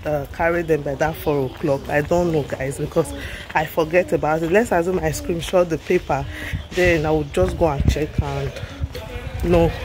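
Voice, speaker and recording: Nigerian woman vlogging on a noisy street. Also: low voice. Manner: talks to camera while walking, sighs and laughs often. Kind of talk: casual vlog narration. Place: outdoors on city streets. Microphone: phone held at arm's length.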